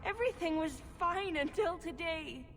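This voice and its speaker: A woman's voice: extremely high pitch, animated, moderate pace. An actress playing a meek, frightened young elf slave girl in a video game, pleading and naive. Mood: fearful pleading. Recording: long reverb, noisy ambient background.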